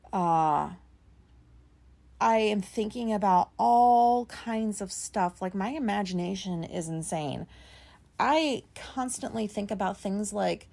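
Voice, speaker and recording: A woman in her thirties with a raspy voice, talking casually and rambling on her solo podcast, with many fillers and some swearing. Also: medium pitch, darkish tone. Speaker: podcast host.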